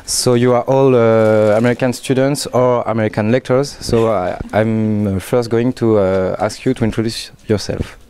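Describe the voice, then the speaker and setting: A man's voice: fairly low pitch, fairly even intonation, moderate pace, few pauses, darkish tone, clear, resonant tone. A man with a French accent, interviewing students in English, hesitant and teasing.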